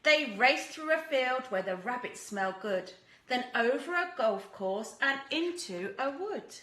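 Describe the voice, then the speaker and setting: A woman reads a children's storybook with a high, very lively voice and a bright tone. She is deliberate and expressive, like a teacher with a young class, in a roomy, echoing space. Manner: animated, deliberate, acts out characters and asks listeners questions.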